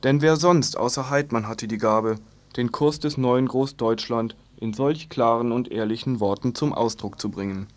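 A man's voice: medium pitch, normal speed. A man reading a scripted, satirical news commentary on the radio with an ironic manner.

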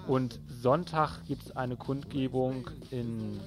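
A man's voice: medium pitch, slow pace. Guy reading out local event listings on the radio, pretty colloquial, music playing under him. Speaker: radio presenter.

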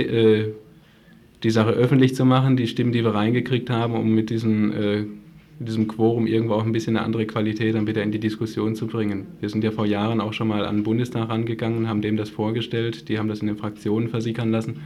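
A man's voice: lowish voice, completely flat delivery, fast, darkish tone. A man speaking as an activist guest in a radio interview, explaining things earnestly and at length.

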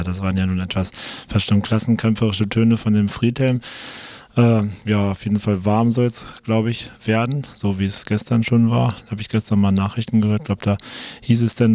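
A man's voice: fairly low pitch, dark tone, dry recording. A man hosting morning radio with casual, unscripted chat. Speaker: radio morning show host.

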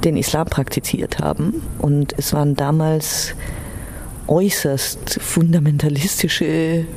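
A woman's voice: low-pitched, steady tone, unhurried, darkish tone, slight background noise. A woman who grew up in Iran and Germany, sharing personal memories as an interviewee.

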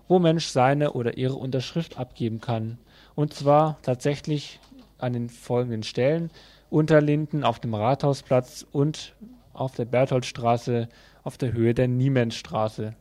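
A man's voice: medium pitch, mellow. A man presenting a news bulletin on community radio, reading from a script. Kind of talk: read local news bulletin.

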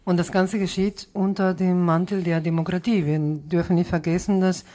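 A woman's voice: on the low side, steady tone, normal speed, warm tone. A woman hosting a conversational political radio talk, searching for words and adding little question tags. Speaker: radio interviewer and co-host.

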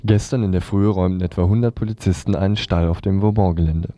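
A man's voice: sits low, fairly even intonation, fast, loud music behind the voice. A man reading a scripted local news report for radio.

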